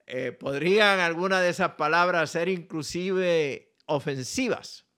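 A man with a Puerto Rican accent, a pastor and ministry director delivering a scripted message slowly and deliberately. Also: on the high side, pushed and bright. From Puerto Rico. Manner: slow, deliberate, spells out contact details carefully. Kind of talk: scripted radio-style message.

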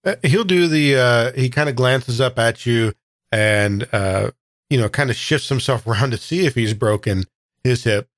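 A man's voice: mid-range voice, normal speed, dry recording, clean studio sound. A man taking part as a player in a tabletop game, casually explaining what his character does.

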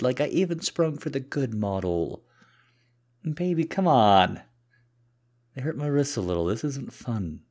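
A man playing a boyfriend in an intimate audio roleplay, speaking to his partner in a husky, halting, conversational way. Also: mid-range voice, warm tone, close to an everyday voice. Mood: flustered, irritated, pleading. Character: cocky boyfriend, flustered and pleading, turning softly submissive. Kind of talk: intimate solo audio roleplay addressed to the listener.

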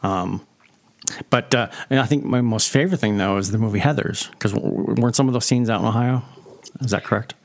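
A man hosting a casual podcast interview, his voice sitting somewhat low. He talks loosely, circling back with fillers and restarts as he thinks aloud. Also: a little fast, vocal fry. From Pennsylvania. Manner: rambling, lots of fillers and restarts. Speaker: podcast host.

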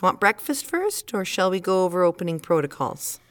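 A woman playing a caring mother who coaxes and gently prods her girl in an audio drama. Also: on the low side, very animated, moderate pace. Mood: upbeat coaxing. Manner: nurturing, coaxing, instructive. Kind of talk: naturalistic audio drama acting.

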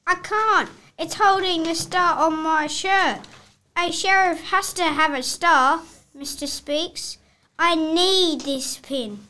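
Proud stubborn little sheriff, played by a child, very high, bright voice. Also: takes it slowly, exaggerated voice. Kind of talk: children's read-aloud play.

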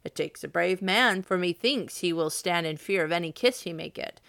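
A woman reading aloud, voicing a rude male steward knight who mocks loudly. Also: sits low, normal speed, creaky. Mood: mocking. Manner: mocking, loud.

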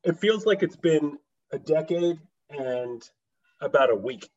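A man's voice: fairly high pitch, lively intonation, a little slow, darkish tone. Dull-sounding recording of an informal male guest. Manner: laughs easily, informal. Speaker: podcast guest, writer. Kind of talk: casual chat. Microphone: USB microphone on remote call. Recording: slightly dull remote call audio.

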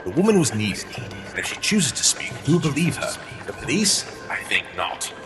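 A man acting as a defensive Victorian accomplice, his voice leaping dramatically up and down as he pleads. Loud music plays under him in this audio drama. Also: medium pitch, sing-song, normal speed. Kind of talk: fiction podcast acting.

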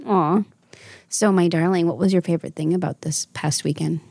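A woman's voice: on the low side, fairly even intonation, moderate pace, gravelly, a little mellow, husky, no echo, studio quality. A woman in her thirties hosting a casual chat with her daughter, affectionate and motherly, laughing often. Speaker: podcast host and mother.

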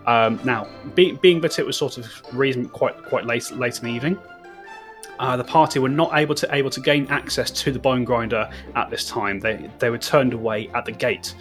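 A man narrating a tabletop roleplaying session as its game master, mid-pitched, stumbling and repeating words as he sets the scene. Faint music plays underneath. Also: normal speed, a bit bright. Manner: stammering, repeats words, self-deprecating.

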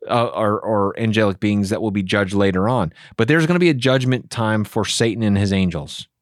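A man's voice: on the low side, fairly quick, dry recording, clean studio sound. A man, a pastor, talking earnestly and with animation in a casual theology discussion. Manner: earnest, animated, acts out inner voices.

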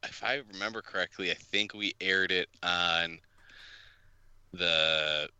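A man's voice: low-pitched, slow, sharp tone, smooth, no echo. A man co-hosting a podcast in casual chat, hesitant and often repeating his words. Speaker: podcast co-host.

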